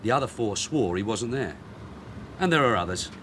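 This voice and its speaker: An actor playing a shrewd, blunt middle-aged police detective sergeant in a radio drama. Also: male voice, on the low side, animated, normal speed, a bit bright, a little hiss or hum. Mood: brisk, sardonic. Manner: blunt, dry, probing.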